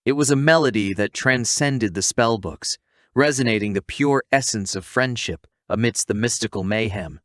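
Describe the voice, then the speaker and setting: Male narrator for recap videos: playful, theatrical storyteller, medium pitch, close dry sound. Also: normal speed, a bit bright, no room sound.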